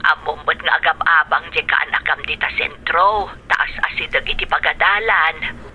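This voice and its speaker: A woman playing a caring, concerned middle-aged older sister with a creaky voice in an Ilocano radio drama. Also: sits low, moderate pace, sharp tone, lo-fi sound, slight background noise. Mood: warm, persuading.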